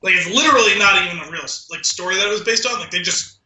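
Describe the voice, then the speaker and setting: A man with a high-pitched, intensely bright and edgy voice talks casually as a podcast co-host, offering opinions. The sound is roomy, with clear echo around his words. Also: racing. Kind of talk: casual chat, giving opinions.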